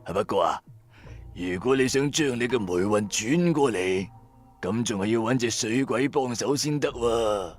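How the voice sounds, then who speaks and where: A man's voice: mid-range voice, lively intonation, natural voice. A man playing a shifty, theatrical fortune teller who poses as blind, drawing out his words mysteriously in a radio drama. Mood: knowing, persuasive. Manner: theatrical, drawn-out, mock-mysterious. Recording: background music under voice. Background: music.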